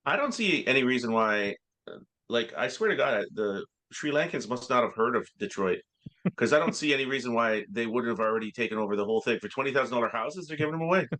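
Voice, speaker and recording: A man co-hosting a comedy podcast, telling rambling stories in halting bursts full of filler sounds. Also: mid-range voice, lively intonation, fairly bright tone. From Canada. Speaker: podcast co-host. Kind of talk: casual comedic chat.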